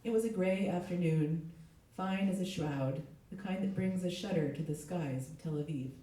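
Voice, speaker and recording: A middle-aged woman, a literary translator, reading aloud at a live event, her voice hoarse with a cold. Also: on the low side, normal speed, mellow. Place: echoey indoor event space. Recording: very echoey room, distant mic.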